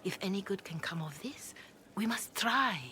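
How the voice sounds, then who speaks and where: A woman voicing a devout, solemn chantry cleric in a video game, speaking in a measured, formal, earnest way with a breathy, hushed delivery. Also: medium pitch, lively intonation, lightly acted. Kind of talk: video game dialogue acting. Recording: clear background noise, game ambience.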